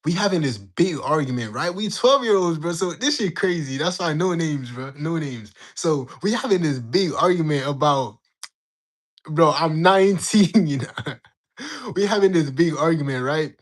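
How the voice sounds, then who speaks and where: Animated young man telling a story. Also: on the high side, moderate pace. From Jamaica. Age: young adult. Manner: animated, slangy, bantering with chat. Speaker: livestream host. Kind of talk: casual storytelling to live chat.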